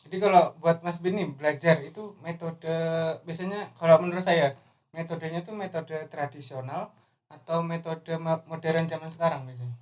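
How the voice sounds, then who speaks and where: A man's voice: a little high, moderate pace, darkish tone. A young man from Indonesia hosting a casual podcast interview, asking friendly questions with frequent backchannels. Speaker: podcast host.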